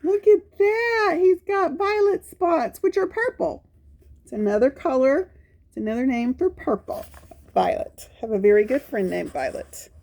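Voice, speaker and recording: A woman's voice: high voice, unhurried. A woman hosting a children's storytime, reading a picture book aloud with chatty, exclaiming asides and repeated phrases. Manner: animated, exclaiming, repeats phrases to young listeners.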